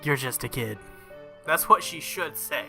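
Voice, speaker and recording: A man with a very bright, forceful voice hosts a visual novel playthrough. He reads the story aloud and breaks off for casual remarks, his pitch moving in lively swings. Soft game music plays underneath. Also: measured pace, vocal fry. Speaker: gaming channel host.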